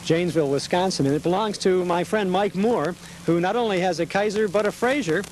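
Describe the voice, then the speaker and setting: A man's voice: sits high, a little fast. A man hosting on location outdoors, presenting and interviewing. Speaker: show host. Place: outdoors at a car meet. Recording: noisy outdoor location sound with vehicles.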